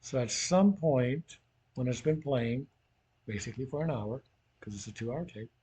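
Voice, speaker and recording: A man's voice: medium pitch, moderate pace, dark tone, breathy edge. A man teaching in an informal, conversational way, talking through a worked physics problem as if thinking aloud.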